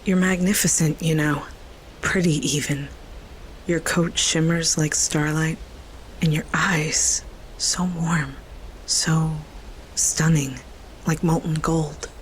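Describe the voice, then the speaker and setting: Woman playing a low-voiced, earnest young girl. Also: fairly even intonation, slow, long pauses, slight background noise, poor audio. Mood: admiring. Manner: earnest, pleading, unguarded. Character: earnest, curious, bold young girl, a budding witch. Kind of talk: fantasy audio drama acting.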